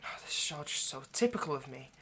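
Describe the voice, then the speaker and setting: A man's voice: medium pitch, lively intonation, quick pace, bright, forceful tone. A man playing a nervous, centuries-old vampire kidnapper in an intimate ASMR roleplay, hesitant, stammering and apologetic as he speaks to the listener. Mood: flustered regretful. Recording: faint background noise.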